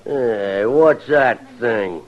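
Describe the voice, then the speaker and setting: An actor playing a gruff, impatient card dealer in a radio western, with a rustic American drawl, on an old recording. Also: male voice, mid-range voice, extremely slow. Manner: rustic, slangy, pushy. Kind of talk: 1950s radio western acting. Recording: dull old radio recording, crowd behind.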